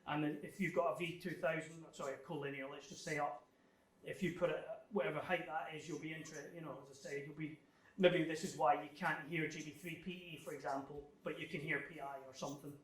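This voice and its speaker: Man, somewhat higher voice, halting explanatory club talk, informal, distant microphone, roomy echo. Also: fairly even intonation, a bit bright. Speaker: guest speaker giving a technical club talk. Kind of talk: informal technical presentation with question answering. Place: club meeting room, live talk. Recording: echoey room, distant microphone.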